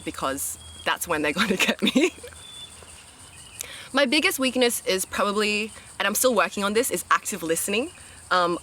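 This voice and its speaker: A woman's voice: lowish voice, sharp tone, a little hiss or hum. A woman, chatting casually as a reality show contestant, upbeat with lots of fillers and restarts. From Australia. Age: 20s. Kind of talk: casual interview answers.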